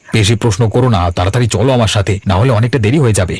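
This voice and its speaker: A man playing a blunt, stubborn grown son and village uncle in a cartoon, talking briskly and curtly. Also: lowish voice, a little mellow, slightly rough. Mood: urgent. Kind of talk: Bengali cartoon voice acting. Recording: music under the voice.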